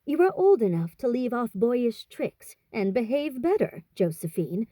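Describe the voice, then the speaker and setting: A woman's voice: on the high side, sing-song, measured pace, warm tone, dry recording, pitched above the performer's own voice. A woman voicing a proper, motherly teenage eldest sister in a storybook, earnest and inclined to lecture. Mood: lecturing. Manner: earnest, chiding.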